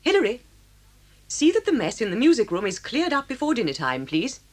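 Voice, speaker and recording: A woman's voice: fairly high pitch, wildly swooping pitch, brisk. A woman playing a stern boarding school headmistress, speaking formally and reprovingly in an audio drama. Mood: stern, disappointed.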